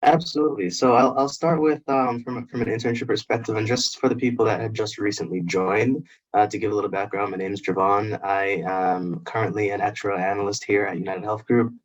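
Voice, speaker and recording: A young man in his twenties with a very deep voice, speaking eagerly with fillers and restarts. He introduces himself as an actuarial analyst on a career fair panel. The audio sounds dull and muffled. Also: fairly even intonation, fairly quick.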